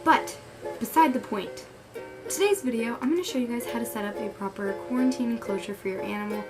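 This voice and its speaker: A woman's voice: fairly high pitch, fairly quick, low-quality recording. A young woman with a cold, sounding a little tired and under the weather, chatting casually through a pet care how-to with lots of likes and ums. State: sick, a little hoarse and tired. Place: bedroom at home. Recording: background music throughout. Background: background music.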